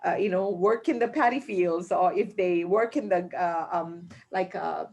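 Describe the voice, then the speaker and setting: A woman's voice: fairly low pitch, moderate pace, darkish tone. A woman from Malaysia answering travel questions in a webinar, talking chattily with many fillers and restarts. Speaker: tourism guest speaker.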